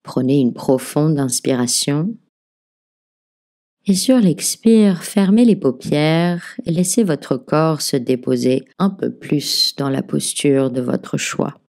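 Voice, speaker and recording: A woman leading a guided relaxation as a meditation teacher, giving gentle, soothing, measured instructions. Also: low-pitched, animated. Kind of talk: guided yoga nidra relaxation narration.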